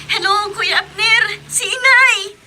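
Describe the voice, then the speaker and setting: A woman's voice: very high-pitched, takes it slowly, heavy background noise. A woman playing a dutiful, fretful young daughter and younger sister in a Tagalog radio drama. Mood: distressed.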